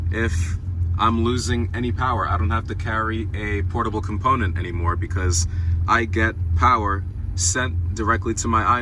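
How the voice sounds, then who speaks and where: A man with a lowish voice speaks to his viewers in a casual, enthusiastic car-vlog style. Steady ambient noise from traffic and the outdoors is clearly present around him.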